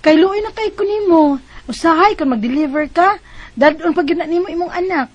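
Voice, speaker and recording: An actress playing a breezy, impatient young best friend in a Bisaya radio drama, chatty and playful, with a Cebuano accent. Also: female voice, extremely high pitch, brisk. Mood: playful, caring.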